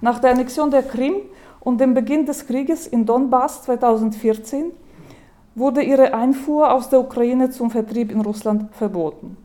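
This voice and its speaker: A woman giving a lecture, speaking in a measured way, with hesitations and restarts, and reading quotations aloud. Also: on the high side, mellow.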